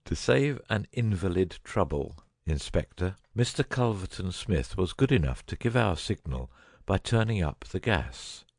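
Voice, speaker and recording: A man plays a cunning detective in an audiobook drama. The voice is low and husky, with lively swings in pitch, recorded close and dry. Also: measured pace, hardly any pauses, no room sound, heavily acted. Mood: composed, wry. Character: cunning male detective, first feigning a dying illness, later brisk.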